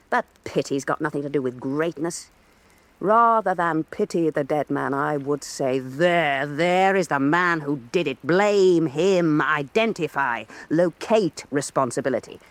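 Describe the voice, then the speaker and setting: A fierce, sensual middle-aged painter who talks with cutting scorn. Her voice sits fairly low but swings widely in pitch. The sound is close and dry. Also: expressive, unhurried, no room sound. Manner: sharp, scornful, intense. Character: proud, fierce, sensual woman painter, a mother. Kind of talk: stage radio drama.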